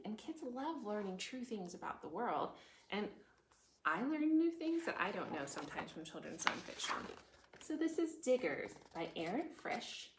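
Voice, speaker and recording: A woman hosting a preschool storytime, reading aloud and chatting expressively, with lots of questions for her young listeners. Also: high voice. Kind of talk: children's read-aloud with chatty explanations. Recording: echoey room with background noise.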